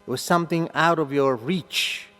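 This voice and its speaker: An older man from Argentina with an Argentine Spanish accent, a native Spanish speaker, telling an animated story to a meeting and acting out the voices. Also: a little high, normal speed, in a large hall. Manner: animated, acts out voices in his stories. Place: meeting hall.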